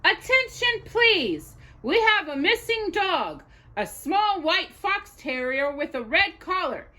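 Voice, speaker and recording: A woman voicing a formal department-store announcer from a picture book, in an emphatic, measured announcing manner. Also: very high voice, sing-song, sharp tone, voice pushed up, heavily acted. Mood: official.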